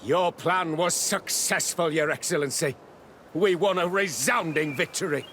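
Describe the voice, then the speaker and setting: An actor playing a dutiful military officer in a video game, speaking formally and respectfully to a superior. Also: male voice, fairly high pitch, unhurried, a bit bright, slight background noise. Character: dutiful, deferential military officer ready for orders. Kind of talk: scripted video game voice acting.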